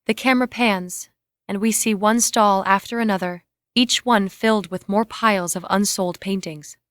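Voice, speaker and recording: Female reader for screenplay directions, medium pitch, scripted delivery, dry acoustics. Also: fairly even intonation, normal speed, fairly bright tone, no echo. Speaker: narrator reading screen directions. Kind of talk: scripted narration of stage and camera directions.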